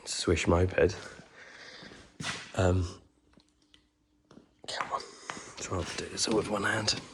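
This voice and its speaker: A man with a cold talks in a croaky, very deep voice with a gravelly edge, rambling easily and filling gaps with ums. He films handheld in a workshop, and a faint noise sits under his voice. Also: takes it slowly. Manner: relaxed, many ums, trailing off. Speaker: vlogger restoring a truck. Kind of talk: casual workshop walkaround.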